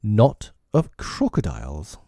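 Man acting a slow, menacing pirate captain. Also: fairly low pitch, huge pitch swings, creaky, mellow, no room sound, clean studio sound, heavily acted. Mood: brooding, wincing. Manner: slow, drawn-out, dramatic delivery. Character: menacing, brooding male pirate captain, proud and vengeful.

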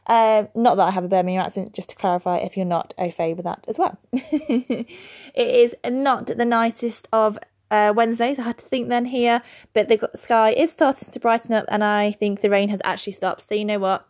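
A woman hosting a solo podcast, chatty and quick to laugh at her own slips over hard words. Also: medium pitch, fairly even intonation, darkish tone. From England. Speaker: podcast host.

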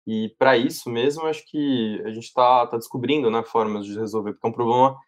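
A Brazilian man acting as interview host, speaking in a calm, measured way at a mid pitch. He thinks aloud and hedges before he gets to each question. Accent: Brazilian Portuguese.

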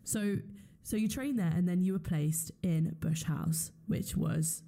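A woman's voice: fairly low pitch, unhurried, mellow. A woman hosting a conversational podcast interview in an enthusiastic, appreciative manner. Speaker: podcast host.

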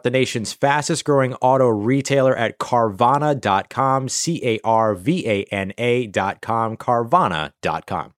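A man reads scripted sponsor copy as a podcast host. His voice is mid-pitched, and the sound is dry with no room around it. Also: no echo. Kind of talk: scripted ad read.

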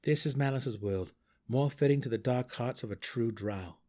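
A man voicing a resolute, brooding male dark elf exile in a fantasy audiobook, speaking earnestly and formally with defiance. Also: medium pitch, moderate pace, close to an everyday voice. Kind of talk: fantasy audiobook character acting.

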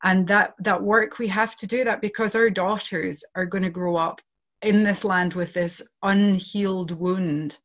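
A woman's voice: medium pitch, steady tone, measured pace, a bit bright. Earnest woman, dull lo-fi audio. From outside Ireland. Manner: earnest, flowing, many "you know" fillers. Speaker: project founder, guest. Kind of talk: reflective interview talk.

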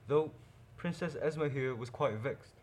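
A man's voice: mid-range voice, measured pace, darkish tone, natural voice. A man playing a courteous, formal royal attendant, deferential but strict, in an audio drama.

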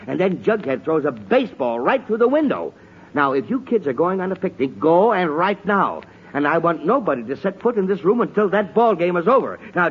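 A man's voice: high voice, slightly rough, exaggerated voice. An actor playing a flustered, blustering middle-aged father in a 1940s radio comedy, stammering with indignation. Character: exasperated, blustering suburban father. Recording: muffled, noisy 1940s radio recording.

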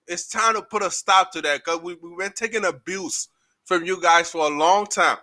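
Liberian man, Liberian accent, podcast host, emphatic heated rant, high voice. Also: normal speed. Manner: animated, emphatic, repeats words when heated. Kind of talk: ranting solo podcast monologue.

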